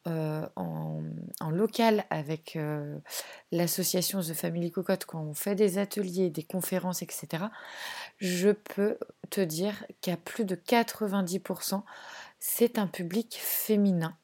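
A woman speaks slowly in a low, mellow voice. She thinks aloud in a casual interview, pausing often and restarting her phrases. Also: lively intonation. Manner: hesitant, many fillers and restarts. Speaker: zero-waste association founder.

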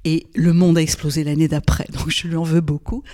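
A woman's voice: low-pitched, little pitch movement, fairly quick, warm tone. An older woman from Lebanon, a gynecologist speaking as a guest in an interview, thoughtful, with frequent hesitations and restarts. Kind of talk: reflective interview conversation.

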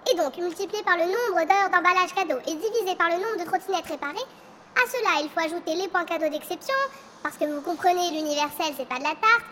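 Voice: very high voice, a little fast, sharp tone, a little hiss or hum. A performer voicing an aggrieved elf worker who gripes and explains in fussy detail, in a comic audio drama. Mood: indignant, explaining. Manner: explanatory, griping, over-detailed. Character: aggrieved elf worker grumbling about pension reform.

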